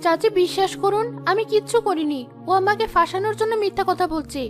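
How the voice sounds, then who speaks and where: Woman acting long-suffering kind wife, very high voice, plaintive, cartoon melodrama, music behind. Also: quick pace. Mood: protesting. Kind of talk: melodramatic cartoon story dialogue.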